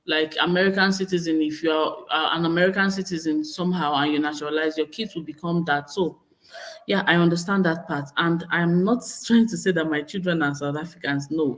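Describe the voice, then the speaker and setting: A woman's voice: low-pitched, fairly bright tone, poor audio. A woman in her thirties with a Nigerian accent, talking casually to camera, often repeating and correcting herself. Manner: chatty, repeats and corrects herself, reads viewer comments aloud. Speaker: vlogger.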